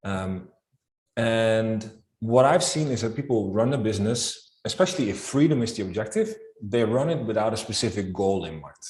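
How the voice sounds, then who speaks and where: A man with a Dutch accent, a guest accounting expert, explaining his views in a calm, structured way. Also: on the low side, moderate pace, reverberant. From Netherlands. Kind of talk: interview answers.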